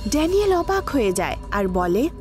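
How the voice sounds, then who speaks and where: A woman's voice: on the high side, very animated, moderate pace, darkish tone, faint music behind. A woman narrating a scripted horror story in a dramatic storytelling style.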